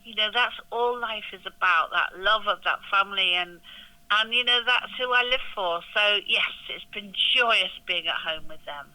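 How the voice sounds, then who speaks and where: An older woman, an actress and interview guest, who talks in a loud, effusive and exclaiming way. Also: mid-range voice, moderate pace, rough recording. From England. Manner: effusive and gushing, exclaims often. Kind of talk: casual interview chat.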